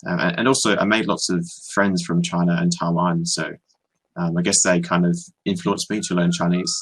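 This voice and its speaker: Husky, deep-voiced Australian young man. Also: moderate pace, low-quality recording. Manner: relaxed, hesitant, many ums and restarts. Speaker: online English tutor. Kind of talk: casual video-call conversation. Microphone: video call.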